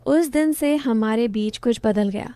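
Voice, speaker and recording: A woman narrating a first-person story in a steady storytelling tone. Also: fairly high pitch, unhurried, smooth, dry recording.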